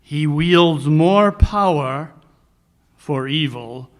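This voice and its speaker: A man playing a cool, commanding detective in a live 1940s-style radio mystery, speaking formally and deliberately with dry superiority. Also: a little high.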